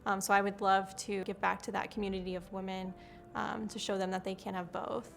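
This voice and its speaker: A woman speaks in an interview testimonial. Her pitch is middling and moves very little, keeping an even line. Quiet music plays behind. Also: a little fast, creaky. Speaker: scholarship recipient.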